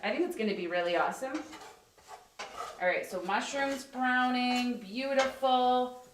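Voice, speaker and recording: A woman hosting a casual live cooking stream from her home kitchen, chatty and upbeat, with a loud teacher voice, picked up by a camera across the room. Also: on the high side, measured pace. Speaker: cooking livestream host and coach. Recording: echoey kitchen with faint noise.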